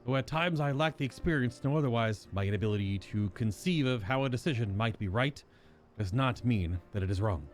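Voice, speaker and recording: A man's voice: mid-range voice, a little fast, soft background music, natural voice. A man voicing an earnest, courteous nobleman from a fantasy game, formal and reflective in his wording. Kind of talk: reading fantasy game dialogue aloud.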